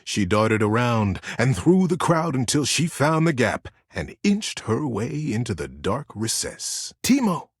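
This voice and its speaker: Man, storybook narrator, hugely varied intonation, smooth clean voice, suspenseful delivery. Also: medium pitch, very animated, a little slow, few pauses, no echo, studio quality. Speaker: voice actor reading a children's story.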